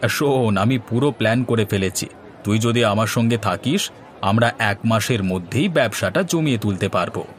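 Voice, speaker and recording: A man's voice: lowish voice, lively intonation, normal speed, a little hiss or hum, lightly acted. A man as a confident, scheming cartoon villager. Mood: eager, persuasive. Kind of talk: comic Bengali cartoon dialogue. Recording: music behind the voice.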